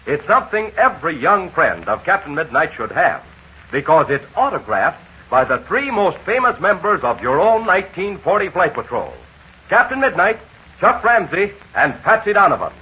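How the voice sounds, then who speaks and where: A man announcing a 1940s children's radio serial, pitching eagerly to young listeners in a muffled old transcription. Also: sharp tone, breathy edge.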